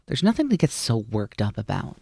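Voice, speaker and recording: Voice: medium pitch, huge pitch swings, fairly quick, gravelly, darkish tone, slightly rough, no room sound, full character voice. A performer in an ASMR roleplay voicing a mischievous, teasing naga snake-demon roommate who coaxes playfully and likes to be in charge. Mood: teasing, coaxing.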